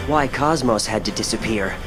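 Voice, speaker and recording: An actor playing a determined young hero in a video game, speaking with earnest, insistent urgency. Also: male voice, fairly low pitch, moderate pace, music in the background, lightly acted. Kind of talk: dramatic video game voice acting.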